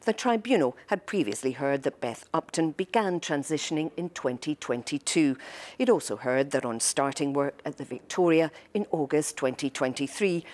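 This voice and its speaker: Low-voiced woman reporter. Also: darkish tone. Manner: measured and formal. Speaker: news reporter. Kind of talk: scripted news report.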